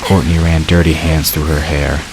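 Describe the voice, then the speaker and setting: A man's voice: very deep voice, flat delivery, moderate pace, over a noisy crowd, rough recording. A man narrating a dramatic fantasy story from a script. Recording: clear hiss behind the narration.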